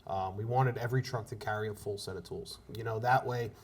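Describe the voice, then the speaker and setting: Fast-talking New man, lowish voice. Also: steady tone, husky. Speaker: fire company officer being interviewed. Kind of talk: casual explanatory interview talk.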